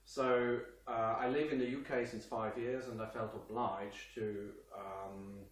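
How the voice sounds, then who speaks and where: A man with a Swiss accent explaining a political topic straight to camera in earnest, casual speech with many fillers and pauses. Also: on the low side, level tone, echoey room, far from the mic, low-quality recording. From Switzerland. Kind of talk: direct-to-camera explainer talk.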